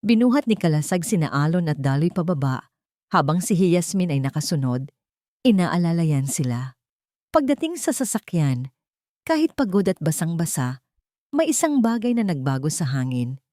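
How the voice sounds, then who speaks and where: Low-voiced woman telling a story. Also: very animated, normal speed, mellow, no room sound, studio quality. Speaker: audiobook storyteller. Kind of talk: dramatic scripted narration.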